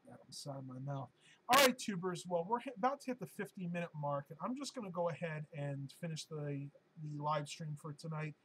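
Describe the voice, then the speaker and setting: A man with a hoarse, fading voice hosts a casual live-stream Q&A, answering viewer questions in a chatty, rambling way with plenty of ums and uhs. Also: on the high side, lively intonation, a little fast, very noisy. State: hoarse, losing his voice.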